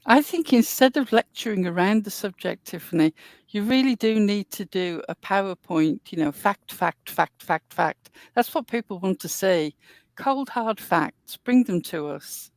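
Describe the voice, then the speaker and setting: A woman on a chat panel who speaks with dry sarcasm. Her voice sounds close and dry, with no room around it, and her pitch stays in an ordinary middle range. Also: lively intonation, unhurried, a little mellow, no echo.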